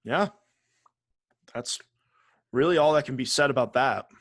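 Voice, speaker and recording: A man's voice: mid-range voice, measured pace. A man co-hosting a video game podcast, chatting casually in a blunt, opinionated way. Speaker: podcast host.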